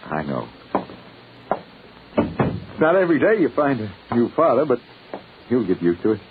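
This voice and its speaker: A man's voice: medium pitch, big pitch swings, unhurried. An actor playing a stubborn, wealthy middle-aged father desperate for his lost daughter, in 1940s radio drama style. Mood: gentle. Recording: scratchy old radio broadcast, narrow band, noisy.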